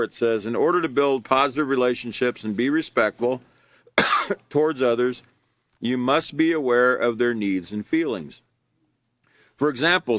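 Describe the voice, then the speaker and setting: A loud, earnest man leads a live class, reading passages aloud and then talking them through. His voice sits at an ordinary middle pitch, and the sound is dry and close to the mic. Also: dry recording. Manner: loud, earnest, with many fillers and restarts. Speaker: class teacher. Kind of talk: reading and explaining a lesson live.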